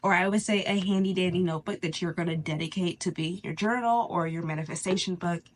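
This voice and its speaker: Congested woman chatting casually, lowish voice. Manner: informal, halting with pauses for breath. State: congested, stuffy nose. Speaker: host of a spirituality channel. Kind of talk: casual chatty tutorial on manifesting.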